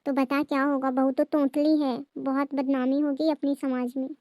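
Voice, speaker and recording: A woman's voice: high-pitched, little pitch movement, normal speed, mellow, no room sound, clean studio sound, close to an everyday voice. A woman playing an anxious, scolding Indian mother-in-law in a family comedy skit. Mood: worried. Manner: fretful, scolding, gossipy.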